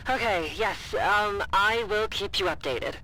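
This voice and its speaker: A woman acting a nervous, evasive character in an audio drama, speaking in a hesitant, halting, awkward way. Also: mid-range voice, sharp tone, poor audio. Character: nervous, evasive young woman hiding bad news.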